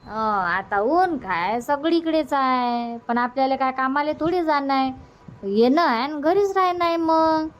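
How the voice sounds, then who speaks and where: A woman acts out a middle-aged village mother in a cartoon comedy. She has a very high voice and coaxes in homely, colloquial Varhadi Marathi. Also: a little slow. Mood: coaxing.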